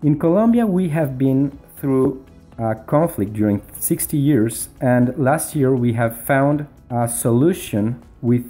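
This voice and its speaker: Slow, husky, mellow male narrator. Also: mid-range voice, animated, faint music behind. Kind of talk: promotional narration.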